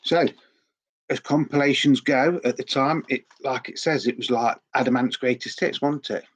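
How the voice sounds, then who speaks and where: A man's voice: medium pitch, steady tone, moderate pace, breathy edge. A middle-aged man with a sore throat, chatting in a rambling, repetitive way as host of a record collecting vlog. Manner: rambling, chatty, repeats words often. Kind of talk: casual vlog chat.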